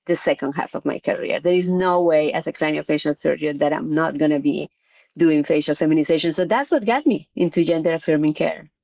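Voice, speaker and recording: A middle-aged woman with a Colombian accent answering interview questions, pausing often with fillers and restarts. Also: fairly low pitch, lo-fi sound. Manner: hesitant, frequent "uh" fillers and restarts. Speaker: surgeon, interview guest.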